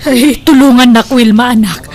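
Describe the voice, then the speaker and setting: High, sorrowful worried mother played by a woman. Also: steady tone, normal speed, a little mellow, lightly acted. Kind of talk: Ilocano radio-style family drama acting. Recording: music under the voice.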